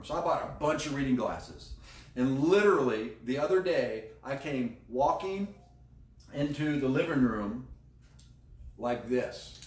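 American pastor, man preaching in echoey room. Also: mid-range voice. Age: middle-aged. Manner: emphatic, repeats key phrases. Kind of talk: sermon teaching, conversational. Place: indoor room beside a screen. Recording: roomy echo, distant microphone.

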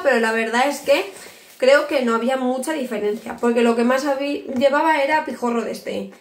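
High-voiced chatty woman, echoey kitchen. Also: a little hiss or hum. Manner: chatty, shows items to camera, frequent restarts. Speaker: home vlogger. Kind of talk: casual haul video to camera. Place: kitchen at home, indoors.

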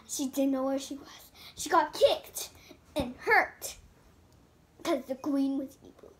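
Child storyteller, high voice, dramatic and halting, making up a toy fairy tale at an unhurried, slow pace. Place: indoors in a room at home.

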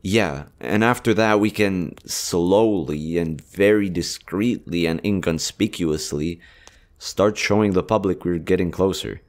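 Guy acting as a smitten army general, really low voice, gentle and earnest, romance roleplay audio. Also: measured pace. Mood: cautious, affectionate. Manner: tender, earnest, hesitant phrasing. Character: smitten male army general, gentle, strategic, tender lover. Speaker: voice actor.

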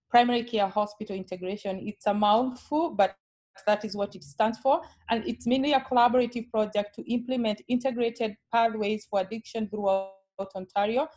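A woman with a Kenyan accent, a nurse educator giving a friendly webinar presentation over a video call, often pausing on filler sounds. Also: medium pitch, a little mellow.

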